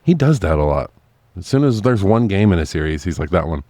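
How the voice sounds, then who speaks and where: Deep-voiced man chatting, close dry sound. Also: sing-song, brisk, warm tone, no echo. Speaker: podcast co-host. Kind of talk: casual film review chat.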